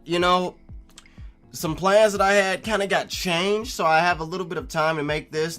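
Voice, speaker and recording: A man's voice: on the high side, sharp tone. Rambling, emphatic man vlogging over music. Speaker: YouTube vlogger. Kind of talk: casual opinionated commentary, replying to viewer comments.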